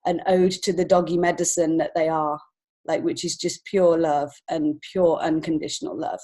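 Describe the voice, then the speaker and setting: Female podcast guest, fairly low voice. Emotionally open, informal personal storyteller, an author talking about her own life. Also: flat delivery, moderate pace, mellow. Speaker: podcast guest, children's book author and illustrator.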